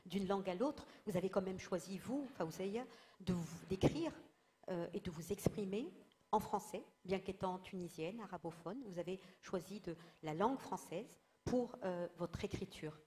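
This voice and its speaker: A woman's voice: mid-range voice, animated, moderate pace, warm tone, in a large hall. A woman moderating a discussion panel, articulate, asking long, carefully built questions.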